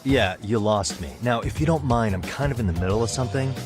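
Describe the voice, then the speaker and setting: A man's voice: mid-range voice, quick pace, music in the background, natural voice. A man voicing an easygoing, wry lawyer turned investigator in a video game, with casual phrasing. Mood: wry, exasperated.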